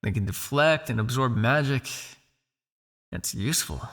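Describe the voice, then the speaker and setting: A man's voice: medium pitch, expressive, measured pace, very noisy. A man playing a smug, possessive vampire crime lord in an ASMR roleplay, with a taunting, silky, condescending delivery. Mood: smug, probing. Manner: taunting, silky, condescending with sighs and soft shushing.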